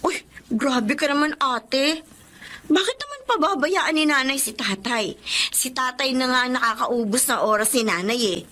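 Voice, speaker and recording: A woman playing a lively, earnest younger sister in a Filipino radio-style drama. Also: sits high, normal speed. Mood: protesting, earnest. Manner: animated, earnest. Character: lively, fair-minded younger sister defending her mother.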